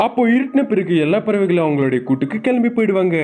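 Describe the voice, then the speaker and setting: A man's voice: high voice, big pitch swings, fast, dark tone. A man narrating a scripted cartoon bird story as a storyteller. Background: background music.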